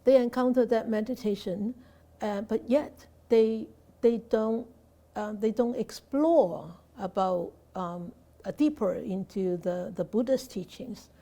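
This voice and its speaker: A woman Buddhist teacher answering a student's question off the cuff, halting with frequent fillers and restarts and posing rhetorical questions. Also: medium pitch, warm tone. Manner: hesitant, many fillers and restarts.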